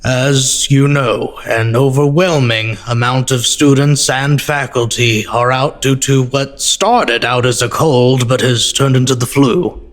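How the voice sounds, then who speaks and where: A man playing a solemn school dean giving a deliberate, official address in an audio drama. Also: medium pitch, fairly even intonation, close to an everyday voice. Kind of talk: formal announcement in audio drama.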